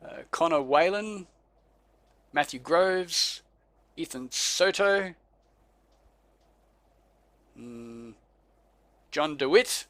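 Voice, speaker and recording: An Australian man co-hosting a casual podcast, talking slowly and easily and chuckling over names he can't quite pronounce. His voice sits a little high for a man and sounds dry and close to the mic. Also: lively intonation, a bit bright, no room sound. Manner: laid-back, chuckling, stumbles over names. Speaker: podcast co-host. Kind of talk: casual chat.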